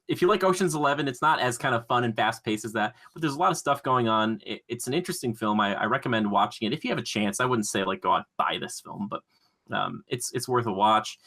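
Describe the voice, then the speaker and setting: A man's voice: mid-range voice, fairly bright tone. A man co-hosting a podcast, talking casually and conversationally with enthusiasm about films. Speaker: podcast co-host.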